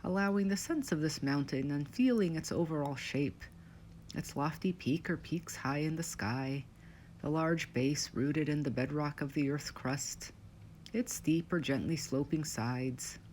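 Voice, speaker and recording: A woman leading a scripted guided meditation in short, measured, soothing phrases with pauses between them. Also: low voice, darkish tone, slight background noise.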